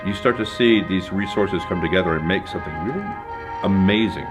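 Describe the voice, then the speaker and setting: A man's voice: low-pitched, a little fast, over loud music. A man, a theatre director and professor, talking casually and enthusiastically about his production in a behind-the-scenes interview. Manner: chatty, enthusiastic, playful.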